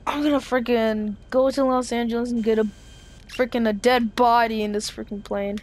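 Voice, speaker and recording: An excitable, melodramatic young-sounding gamer improvising a zombie apocalypse roleplay over game sounds. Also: medium pitch, moderate pace, slight background noise. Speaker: gaming video creator playing a roleplay character.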